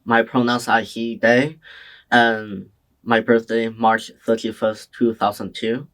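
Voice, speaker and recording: A young man from China answering oral history interview questions in a slow, hesitant, soft-spoken way. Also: on the low side, little pitch movement. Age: young adult. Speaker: interviewee, community college student.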